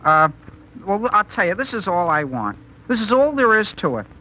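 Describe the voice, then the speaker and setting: A man's voice: high-pitched, sing-song, normal speed, bright, forceful tone, heavily acted, low-quality recording. A man playing an eager, awkward teenage boy who wheedles and stammers earnestly in a 1930s radio comedy. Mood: pleading.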